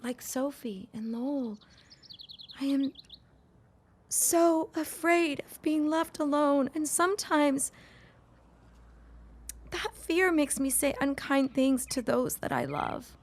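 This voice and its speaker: Period drama ingenue: fragile, needy, earnest, high slow voice, plaintive. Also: female voice, slight background noise. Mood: fearful confiding. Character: anxious, fragile young woman afraid of being left. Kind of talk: period audio drama acting.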